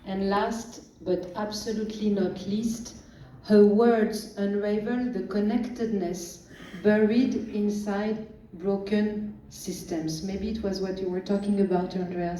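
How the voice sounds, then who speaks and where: A woman reads formal introductions at a literature event, slow and deliberate. Her voice is soft and mellow. It comes from far off in an echoey hall with faint noise behind. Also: medium pitch. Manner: measured and deliberate, pauses between phrases. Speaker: event emcee introducing authors. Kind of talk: formal stage introductions, read aloud.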